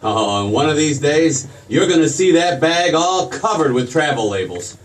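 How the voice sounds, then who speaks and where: A man's voice: fairly high pitch, sing-song, moderate pace. An actor playing a restless, eager young man who stammers and repeats himself, in 1940s radio drama style. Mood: eager, affectionate. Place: theater stage. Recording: distant stage pickup with faint noise.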